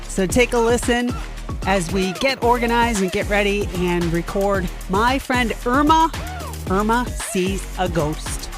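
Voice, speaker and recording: A woman's voice: mid-range voice, a little slow, music in the background. A woman giving a scripted introduction as host of an audio theatre show. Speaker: podcast host and performer.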